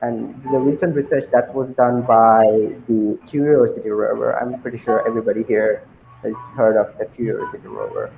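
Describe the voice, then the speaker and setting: A young man from Sri Lanka answering interview questions as a guest, pausing often with ums and uhs. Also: mid-range voice, fairly even intonation, vocal fry, reverberant, muffled recording, over music. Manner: hesitant, many ums and uhs.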